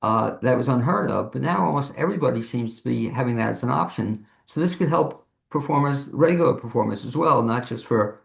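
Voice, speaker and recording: A man's voice: medium pitch, animated, fairly quick, creaky, hardly any pauses. A man hosting a conversational interview show over a video call from an echoey room. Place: home office studio, on a video call.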